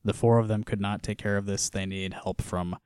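Easygoing male podcast co-host, low voice, dry close recording. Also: fairly quick, clean tone, no echo. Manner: relaxed, laughs often, trails off quietly. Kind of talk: casual chat reviewing a comic.

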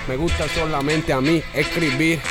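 Colombian man rapping over a loud beat. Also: fairly high pitch, fairly even intonation, moderate pace, over loud music. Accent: Colombian Spanish. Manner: laid-back, laughs easily. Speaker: podcast guest, rapper. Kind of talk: casual podcast chat with freestyle rap. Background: hip hop beat playing.

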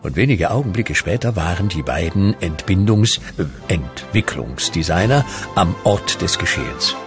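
An actor as a mock-solemn storyteller, narrating a comedy radio play with sly, tongue-in-cheek humour. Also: male voice, low-pitched, lively intonation, normal speed, over music.

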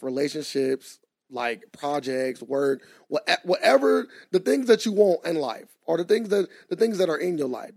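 Gravelly young man with a drawl. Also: a little high, no room sound, clean studio sound. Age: 20s. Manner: animated, laughs often, drawn-out emphatic points. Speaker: podcast co-host. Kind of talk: casual podcast chat.

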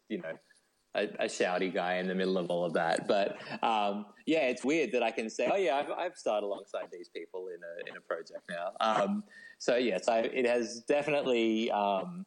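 Australian man, interview guest. Low voice, informal, self-deprecating, easygoing delivery. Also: lively intonation, normal speed. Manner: laid-back, self-deprecating. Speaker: guest, novelist. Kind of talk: casual interview chat.

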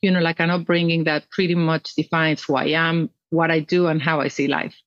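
A woman's voice: sits low, level tone, fairly quick, dry recording, dull audio. A middle-aged woman from Mexico, telling her story earnestly and expressively as an interview guest. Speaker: guest, nonprofit founder. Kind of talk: conversational interview.

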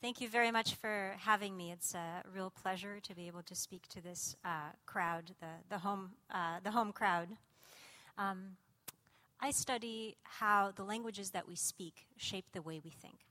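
A woman of middle pitch whose first language is Russian, lecturing to a live audience. She talks in a lively, engaged way, sprinkling her explanations with fillers. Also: a little slow. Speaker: cognitive scientist giving a lecture. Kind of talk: public lecture.

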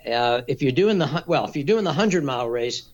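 A man answers interview questions in a steady, measured voice of middling pitch. He explains things practically and patiently, with the odd filler. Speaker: race director.

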